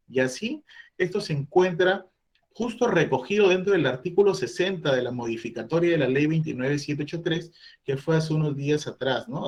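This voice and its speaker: Man explaining labor inspection rules. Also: on the high side. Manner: explanatory, acts out employer questions. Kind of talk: online training presentation.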